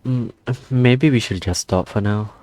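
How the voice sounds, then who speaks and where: A woman's voice: extremely low pitch, unhurried, dark tone. A woman giving casual, hesitant, amused reactions while playing through a game story. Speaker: gamer reacting to a story quest. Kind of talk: casual reaction commentary over gameplay.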